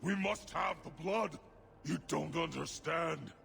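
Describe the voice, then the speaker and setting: An actor playing a crazed, fanatical dwarf smuggler in a video game, gravelly and fervently raving. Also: male voice, on the high side, slow, fairly bright tone, big-room sound, full character voice.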